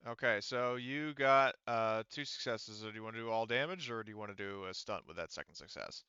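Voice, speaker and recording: A man's voice: lowish voice, a little fast, bright, forceful tone, clear, resonant tone, no echo. A man running a casual tabletop game as game master, informal and prone to swearing, on slightly muffled audio. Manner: informal, profane.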